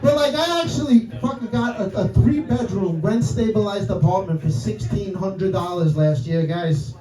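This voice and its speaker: Brash New York comic, high voice. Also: male voice, moderate pace, dark tone. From New York City. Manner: brash, profane, keeps asking the crowd "right?" and "guys". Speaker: stand-up comedian. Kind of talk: stand-up set. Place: comedy club stage. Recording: roomy club sound from a distance. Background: audience laughter.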